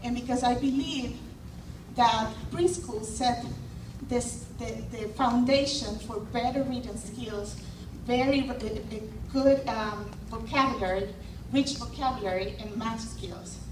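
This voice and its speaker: A woman's voice: a little high, a little slow. A woman in her thirties from El Salvador giving a hesitant public testimony, with many fillers and restarts. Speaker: speaker at a community event. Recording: echoey hall, far mic, noisy.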